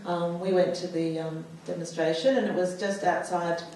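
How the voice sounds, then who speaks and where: A woman's voice: fairly low pitch, steady tone, moderate pace, a little mellow, a little hiss or hum. Woman speaking hesitantly in an echoey hall. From United States. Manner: hesitant, many fillers and restarts. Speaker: panel speaker. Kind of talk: personal testimony at a public talk. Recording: echoey hall, mic far off.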